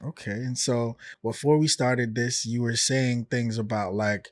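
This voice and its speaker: A man's voice: medium pitch, fairly even intonation, a little slow, no room sound, clean studio sound. A man hosting a casual interview, laid-back, nudging the talk along with short "okay"s and "mm"s. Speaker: podcast host.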